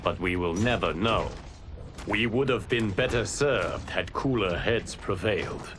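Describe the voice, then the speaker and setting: An actor playing a solemn, commanding god in a video game, speaking in a formal, measured, stern way. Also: male voice, lowish voice, a little hiss or hum. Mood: grave, measured. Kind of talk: dramatic video game cutscene acting. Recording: loud music behind the voice.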